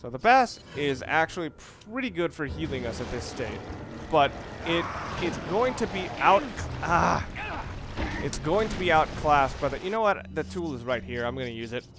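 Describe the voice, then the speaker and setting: A man's voice: fairly high pitch, expressive, moderate pace, over music. A man giving casual, rambling gameplay commentary as a let's play gamer, with wry, sarcastic asides. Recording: noisy, game sounds behind. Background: video game ambience.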